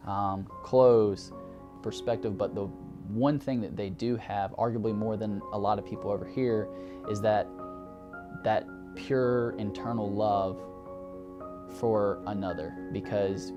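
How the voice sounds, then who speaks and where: A man's voice: fairly low pitch, steady tone, unhurried, dull audio. A young man from Alabama with a Southern accent, a graduate counseling student telling a story in a documentary interview, earnest and reflective, with lots of "like" and "you know". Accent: Southern American. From Alabama, United States. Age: young adult. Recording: music playing behind the voice.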